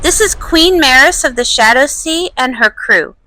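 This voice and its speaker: A woman's voice: high-pitched, expressive, moderate pace, pushed and bright, dry recording, rough recording. A woman voicing an earnest, bubbly young pony princess and pop star in a cartoon comic dub. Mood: excited. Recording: faint music behind.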